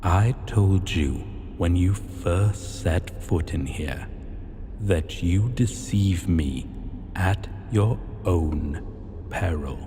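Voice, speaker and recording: A man playing an imperious, possessive dragon king in a fantasy ASMR roleplay, speaking in a commanding, deliberate and haughty manner. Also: deep voice, full character voice. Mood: threatening. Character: imperious male dragon king, menacing, possessive, slyly amused. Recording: long hall reverb, faint background noise.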